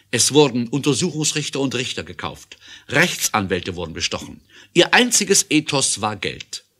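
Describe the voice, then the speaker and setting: Animated, bright-voiced male radio play narrator. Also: mid-range voice. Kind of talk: scripted documentary narration for an adventure radio play.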